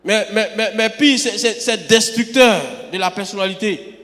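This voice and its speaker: A man's voice: high-pitched, moderate pace, a bit bright. A man from Côte d'Ivoire, preaching an emphatic sermon and often repeating words as he restarts. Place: church sanctuary. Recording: long hall reverb.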